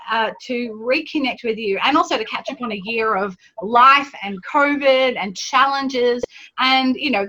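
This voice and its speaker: A woman's voice: a little high, pushed and bright, low-quality recording. A woman hosting an online summit interview, chatty and enthusiastic over a video call. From Australia. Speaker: summit host.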